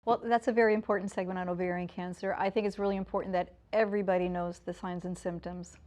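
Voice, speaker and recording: A woman's voice: lowish voice, little pitch movement, fast talker, darkish tone. A woman anchoring a local newscast, mixing scripted lines with light chat. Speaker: news anchor. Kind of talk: news sign-off with light co-anchor banter.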